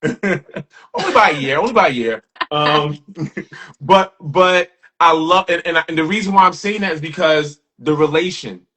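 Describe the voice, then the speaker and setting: A man's voice: a little high, normal speed, bright, forceful tone. A man in his forties with a New York accent, hosting a casual interview in an easygoing way. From Brooklyn, New York. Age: 40s. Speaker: podcast host.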